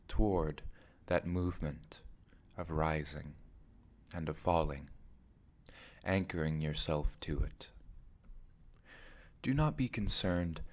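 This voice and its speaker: A man guiding a meditation as its teacher, speaking in measured, deliberate phrases with pauses between them. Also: deep voice. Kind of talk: guided meditation narration.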